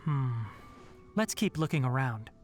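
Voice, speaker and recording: An actor playing a thoughtful male investigator in a game, pondering things deliberately and observantly. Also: mid-range voice, expressive, over music, lightly acted. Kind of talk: game story dialogue.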